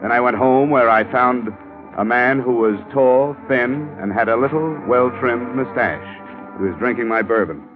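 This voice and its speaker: A man's voice: mid-range voice, normal speed, music in the background, natural voice. Wisecracking hard-boiled detective, played by a man, old radio. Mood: wry narration. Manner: dry, wisecracking. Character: wisecracking tough male insurance investigator. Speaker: narrator and lead detective. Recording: dull old radio recording.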